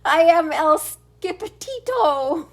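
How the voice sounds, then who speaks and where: A woman voicing an excitable, boastful little boy kitten who plays at being a swordsman, exclamatory and singsong, for a storybook. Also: extremely high pitch, slow, voice pushed up, heavily acted. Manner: exclamatory, singsong, playful mock-Spanish flourishes.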